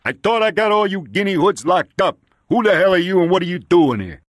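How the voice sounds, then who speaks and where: Belligerent, high-pitched, burly police captain. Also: male voice, big pitch swings, a little fast, slight background noise. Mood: furious, threatening. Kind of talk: dramatised audiobook dialogue.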